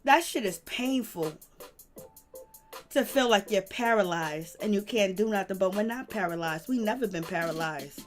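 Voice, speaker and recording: A hoarse woman hosting a livestream, speaking fervently and greeting viewers in the chat. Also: mid-range voice, animated, normal speed, faint music behind. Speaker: livestream host. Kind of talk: impassioned livestream commentary.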